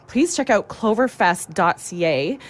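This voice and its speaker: A woman's voice: mid-range voice, lively intonation, measured pace, slight background noise. A woman chatting casually and enthusiastically as a guest on a radio show. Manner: upbeat, enthusiastic. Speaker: guest, chamber of commerce volunteer. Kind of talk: casual radio interview chat.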